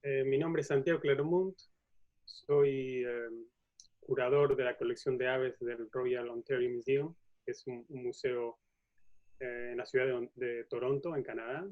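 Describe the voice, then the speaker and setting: A man's voice: mid-range voice, fairly even intonation, a little slow. Uruguayan man lecturing, slightly muffled audio. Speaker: museum curator and professor giving a talk. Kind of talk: informal science lecture with slides. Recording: dull, lo-fi audio.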